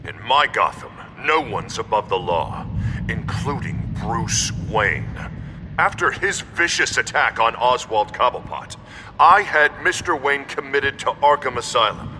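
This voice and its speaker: An actor playing a stern, vengeful city mayor giving a grave, oratorical public address in a video game. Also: male voice, medium pitch, big pitch swings, a little slow, noisy background. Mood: stern resolve. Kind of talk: dramatic political address in a game.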